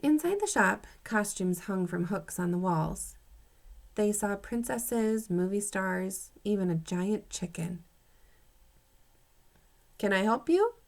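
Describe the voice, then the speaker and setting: Expressive woman reading a children's story aloud. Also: medium pitch, creaky. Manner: expressive, acts out dialogue, pauses to comment to pupils. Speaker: teacher reading aloud to third graders.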